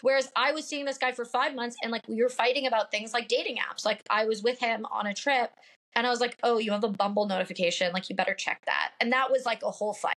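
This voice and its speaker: A woman's voice: on the high side, fairly even intonation, fast talker, fairly bright tone. A woman in her late twenties with a nasal voice, chatting casually as a podcast guest, upfront and full of fillers. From Pacific Northwest. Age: late 20s. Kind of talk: casual chat.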